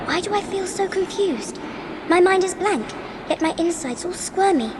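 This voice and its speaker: A woman's voice: very high-pitched, moderate pace, heavy background noise. A woman playing an earnest, sensitive and slightly bossy English schoolgirl, an older sister, in a dramatised audiobook. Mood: earnest, confused. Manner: earnest, expressive.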